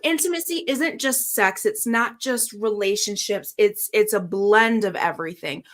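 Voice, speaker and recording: A woman's voice: medium pitch, normal speed, a little hiss or hum. A woman hosting a podcast interview, chatting casually in an agreeable, affirming manner. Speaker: podcast host.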